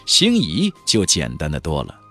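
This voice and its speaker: Highly animated male audiobook narrator. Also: moderate pace, soft background music. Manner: expressive, storyteller delivery. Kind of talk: dramatic scripted narration.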